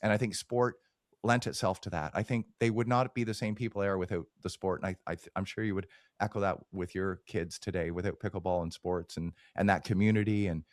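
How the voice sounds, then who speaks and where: A man's voice: low voice, fairly even intonation, fairly quick, no room sound, clean studio sound. A man hosting a podcast, chatting in a candid, self-deprecating way with his guests. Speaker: podcast host. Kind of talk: casual interview chat.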